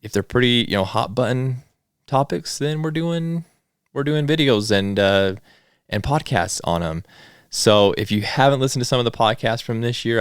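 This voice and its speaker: Casual man podcasting, low smooth voice. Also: no room sound, clean studio sound. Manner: informal, conversational, addressing listeners directly. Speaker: podcast host. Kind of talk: casual solo podcast talk to listeners.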